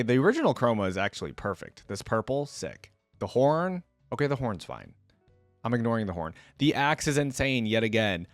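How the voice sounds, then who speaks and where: A man's voice: mid-range voice, no room sound. A man giving casual reaction commentary as a video host, slangy and thinking aloud as he weighs his opinions.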